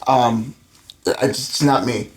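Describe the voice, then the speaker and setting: A man's voice: mid-range voice, steady tone, a little slow, rough recording. A man in his thirties from Florida talks as a podcast guest. He tells his story candidly, rambling and padding it with fillers. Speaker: guest entrepreneur. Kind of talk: casual interview chat.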